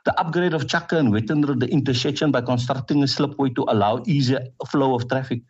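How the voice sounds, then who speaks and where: South african councillor guy on a dull lo-fi line, husky voice, pushy and repeating himself. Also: mid-range voice, fairly quick. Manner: forceful, repetitive campaigning. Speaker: councillor and party leader. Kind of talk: radio interview answers.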